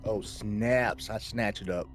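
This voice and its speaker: A man's voice: lowish voice, fairly even intonation, slow pace, faint music behind. A man playing a tabletop roleplaying game, casually and somewhat hesitantly working out his moves aloud. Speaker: player.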